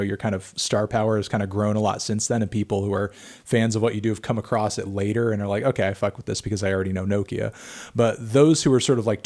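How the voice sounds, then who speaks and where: A man's voice: on the low side, a little mellow. A man hosting an interview, talking casually and rambling with filler phrases. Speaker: interview host, music critic. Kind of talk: conversational interview.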